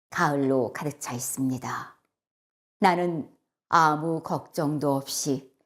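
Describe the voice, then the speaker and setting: A woman voicing an earnest, sorrowful young male poet in a storybook, speaking in a solemn, measured, wistful way. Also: low voice. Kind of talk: storybook acting and solemn poetry recital.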